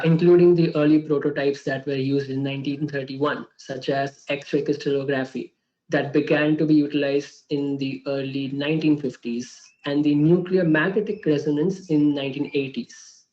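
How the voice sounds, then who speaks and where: A man with a somewhat high voice hosts a question session, reading prepared questions in a polite, formal way. The audio is slightly dull and lo-fi. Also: darkish tone. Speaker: question-and-answer host.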